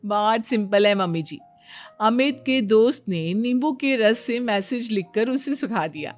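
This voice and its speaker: A woman's voice: a little high, expressive, a little slow, soft background music. A woman voicing a confident, breezily boastful young daughter-in-law in a Hindi cartoon, explaining everything with self-assurance. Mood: instructive. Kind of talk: Hindi cartoon story dialogue.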